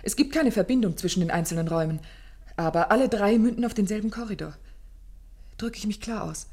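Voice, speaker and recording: An actress playing an anxious, grieving young gentlewoman who tells her story formally and intensely in a German radio play. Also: medium pitch, a little fast, lightly acted. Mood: grave, composed. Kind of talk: German radio play acting, dramatic first-person narration.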